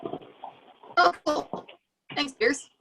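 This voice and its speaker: A woman's voice: high voice, extremely slow, fairly bright tone, a little hiss or hum, poor audio. A woman reading a character's dialogue expressively at a script table read.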